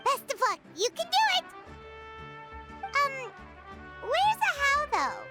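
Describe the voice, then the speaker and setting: Casting: a childlike game fairy companion, sky-high chirpy voice, bouncy intonation, excitable, over loud music. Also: female voice, takes it slowly, exaggerated voice. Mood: cheering, chatty. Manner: chirpy, childlike, refers to self by name. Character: small, squeaky, excitable floating fairy companion girl. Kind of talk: video game character acting.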